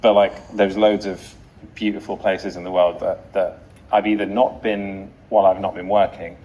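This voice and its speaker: A man's voice: deep voice, level tone, moderate pace, dark tone, highs cut off. A man in his thirties, an interview guest, talking casually with a dry, wry humour. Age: 30s.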